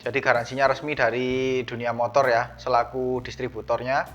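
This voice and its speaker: A man's voice: mid-range voice, little pitch movement, normal speed, fairly bright tone. A man narrating a product unboxing in a casual, conversational, explanatory way. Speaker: unboxing vlogger. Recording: dull lo-fi sound, music behind. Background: background music.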